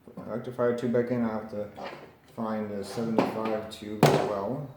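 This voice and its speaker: A man's voice: on the low side, little pitch movement, moderate pace. A man casually narrating a hands-on repair, tentative and thinking aloud as he works. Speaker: hobbyist radio repairer presenting. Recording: roomy echo with faint background noise.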